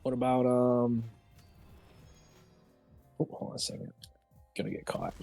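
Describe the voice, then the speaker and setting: A man's voice: medium pitch, completely flat delivery, a little slow, creaky, mellow. A man chatting casually about games as a co-host on a stream. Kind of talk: casual gaming chat. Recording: music playing underneath. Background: music.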